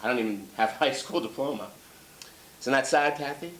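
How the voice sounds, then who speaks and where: Casting: male actor for stubborn, troubled childlike man in a stage play, very animated intonation, halting delivery, roomy sound. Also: mid-range voice, lightly acted. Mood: hesitant, wistful. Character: troubled mentally ill man, childlike, stubborn, pleading with his guardian. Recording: roomy echo with faint background noise.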